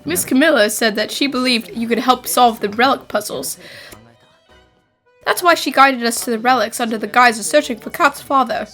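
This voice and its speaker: A woman voicing an earnest, polite young boy, an inquisitive apprentice, in an animated fan dub. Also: a little high, moderate pace, faint music behind.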